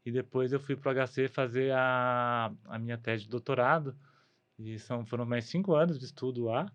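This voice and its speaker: A man in his forties from Brazil, an ENT doctor speaking as a podcast guest, easygoing and quick to laugh. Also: mid-range voice, steady tone, muffled recording. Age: 40s. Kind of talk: casual podcast interview.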